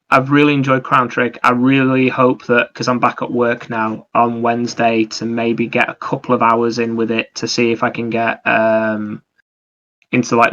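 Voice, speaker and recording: Male podcast host, bright edge to an average-pitched voice, casual and explanatory, dull microphone sound. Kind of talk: casual chat about video games.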